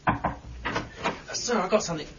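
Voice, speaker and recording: A man's voice: moderate pace, highs cut off, background noise, lightly acted. An actor playing a keen, feeling police sergeant, a junior man in a radio crime drama. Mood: keen, earnest.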